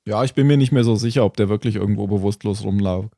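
A man's voice: fairly low pitch, fast talker, creaky, mellow, no room sound, clean studio sound. A man chatting casually as a podcast host recapping a TV episode, thinking aloud hesitantly and often chuckling.

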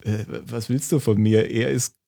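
A man's voice: mid-range voice, steady tone, moderate pace, gravelly, warm tone, no echo, studio quality. A man chatting casually as a podcast host recapping a TV episode, thinking aloud hesitantly and often chuckling.

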